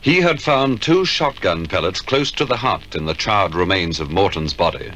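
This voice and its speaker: A man giving formal, deliberate scripted narration for a 1950s radio crime drama. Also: low-pitched, lively intonation, a bit bright, low-quality recording. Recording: hissy 1950s radio recording.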